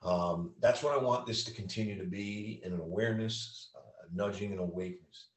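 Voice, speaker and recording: A man hosting a podcast interview in a casual, halting way, with many ums and uhs. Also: lowish voice, distant mic. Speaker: podcast host. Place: Newfoundland. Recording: echoey room, away from mic.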